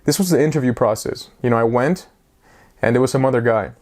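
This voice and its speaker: A man in his twenties chats at an ordinary middle pitch, wandering off on tangents. He is casual and a little self-mocking, like a vlogger talking straight to his audience. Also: fast, a little mellow. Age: 20s. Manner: rambling, self-mocking, chatty. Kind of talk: casual talk to camera.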